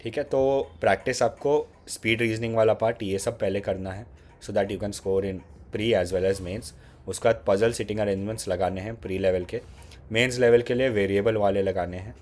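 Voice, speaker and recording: Man, Indian English accent, sore throat, lowish voice, casual exam-tips talk. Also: fairly even intonation, moderate pace, a little mellow. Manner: conversational, mixes Hindi with English phrases, repeats "ठीक है". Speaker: exam prep YouTuber.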